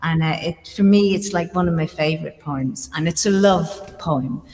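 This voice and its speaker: A woman's voice: low voice, moderate pace, a little mellow, big-room sound, slight background noise. A woman with an Irish accent, a guest musician chatting casually between songs, giggly and full of ums. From Ireland. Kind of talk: casual chat between songs on a live stream.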